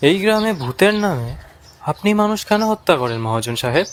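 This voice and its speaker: Bold young man, slightly high voice, acted. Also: sing-song, brisk, a little hiss or hum. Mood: accusing. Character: bold, inquisitive young man investigating village hauntings. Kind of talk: horror cartoon dubbing.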